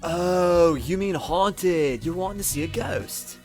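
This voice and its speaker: A man's voice: high-pitched, slow pace, a little mellow, soft background music. A man voicing a friendly young slacker in a visual novel game, with a casual, dopey surfer-dude drawl. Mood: relaxed, casual. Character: laid-back, friendly young male slacker party host.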